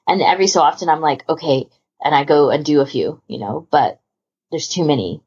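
A woman with a lowish, husky voice hosts a podcast conversation. She rambles along informally and with enthusiasm. The audio is somewhat dull and lo-fi. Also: brisk, darkish tone. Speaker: podcast host. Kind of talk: casual chat about hobby organisation.

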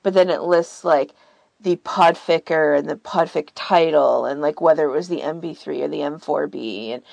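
A woman's voice: sits low, normal speed, gravelly, a little mellow, no echo. A woman explaining things in a casual podcast chat, informally and with plenty of filler words. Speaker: podcast guest and volunteer archivist.

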